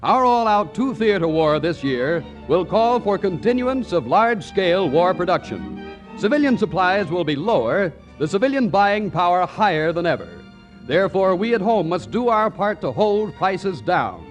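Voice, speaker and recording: A man's voice: high-pitched, big pitch swings, moderate pace, faint music behind. A man reading scripted announcements in 1940s radio style on an old broadcast recording.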